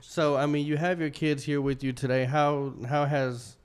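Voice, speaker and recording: Man, interview host, casual questions, clear voice, studio. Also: a little high, normal speed. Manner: relaxed, asks questions with fillers and restarts.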